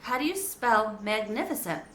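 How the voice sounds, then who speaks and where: A woman's voice: on the high side, big pitch swings, normal speed, pushed and bright. A woman voicing an earnest young schoolboy. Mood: eager. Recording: echoey room.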